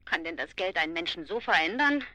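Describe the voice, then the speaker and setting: A woman's voice: fairly low pitch, lively intonation, a little fast, pushed and bright, dry recording. An actress playing an agitated, plaintive woman neighbour making an indignant phone call in a radio drama. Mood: distressed, helpless. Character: flustered, indignant woman neighbour phoning the police. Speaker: caller. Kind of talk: naturalistic German radio drama acting.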